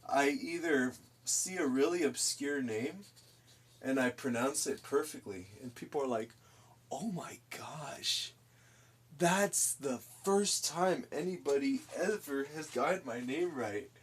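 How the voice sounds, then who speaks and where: A man's voice: mid-range voice, animated, takes it slowly, husky. A man in his twenties hosting a live stream, casually chatting and giggling as he reads out messages. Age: 20s. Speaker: livestream host. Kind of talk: casual chat with chat while painting.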